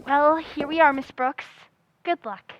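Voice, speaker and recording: A woman's voice: high voice, fairly even intonation, a little slow. A woman playing an eager, polite teenage schoolgirl in old-time radio comedy style, earnest and chatty. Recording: noisy, slightly dull audio.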